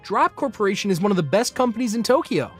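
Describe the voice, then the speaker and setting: A woman's voice: mid-range voice, big pitch swings, fast talker, lightly acted. A woman voicing a selfish, whiny adult son in a melodramatic, pleading manga dub style. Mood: smug, scheming. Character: selfish whiny adult son begging his mother for money. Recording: music behind the voice.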